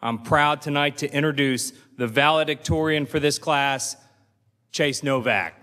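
A middle-aged man reads a formal introduction at a podium microphone on a large auditorium stage. His delivery is measured and steady. His voice carries a long reverb through the hall. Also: on the high side. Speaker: school official introducing the speaker.

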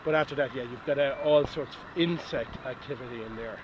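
A man's voice: mid-range voice, a little fast. Middle-aged man explaining outdoors. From Ireland. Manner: hesitant, explains with fillers and restarts. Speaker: company managing director giving a tour. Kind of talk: casual explanatory tour talk. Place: outdoors by a reed-bed pond. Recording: dull outdoor camera audio with noise.

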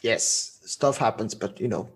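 A man from Portugal whose speech carries a Swiss German accent, his voice gravelly and a touch husky. He talks casually and haltingly as a podcast guest, often restarting a phrase before finishing it. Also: lowish voice.

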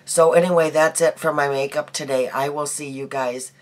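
A middle-aged woman vlogging her daily makeup in a small bedroom, chatty and homey, her voice hoarse from a cold. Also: deep voice, steady tone, moderate pace. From United States. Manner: chatty, homey, laughs easily, trails off. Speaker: beauty vlogger. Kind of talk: casual daily makeup chat to camera.

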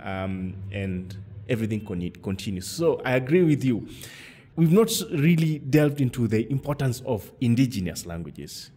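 Man, podcast host, husky, dark tone, measured, hesitant. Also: mid-range voice, sing-song. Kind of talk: conversational interview.

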